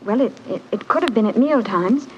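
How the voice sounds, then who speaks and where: Casting: actress as young governess, refined and worried, earnest 1940s radio drama, dull recording with faint noise. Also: female voice, on the high side, animated, fairly quick, close to an everyday voice. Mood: anxious, earnest. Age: young adult. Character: distressed, earnest young governess protesting her innocence.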